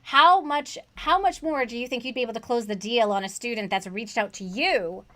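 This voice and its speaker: A woman's voice: on the high side, moderate pace, a bit bright. A woman hosting a casual conversation about online tutoring, earnest and chatty, asking rhetorical questions. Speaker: host, online tutoring coach.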